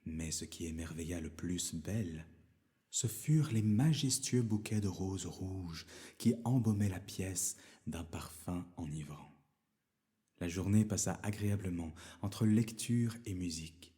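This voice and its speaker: Male storyteller for fairy tale narration: low, mellow, expressive delivery.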